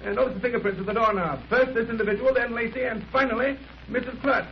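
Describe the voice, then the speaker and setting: An actor playing a keen, confident detective with dry teasing wit, speaking briskly in 1930s radio drama style. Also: male voice, very high voice. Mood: absorbed, eager. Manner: brisk, musing, wryly condescending. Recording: muffled, noisy 1930s radio recording.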